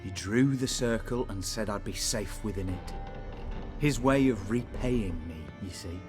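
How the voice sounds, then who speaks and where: A man's voice: fairly low pitch, a little slow, close to an everyday voice. Man acting a haunted blind scholar over music. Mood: haunted, wry. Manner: formal, theatrical storytelling. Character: blind, fearful old scholar, learned and ominous. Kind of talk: dramatic video game dialogue. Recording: music playing behind the voice. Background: game music.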